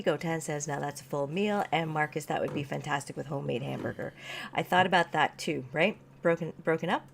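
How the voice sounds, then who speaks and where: A woman's voice: lowish voice, vocal fry, slight background noise. A woman from Canada co-hosting a casual livestream chat at an off-grid cabin, reading viewer comments and laughing often. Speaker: livestream co-host.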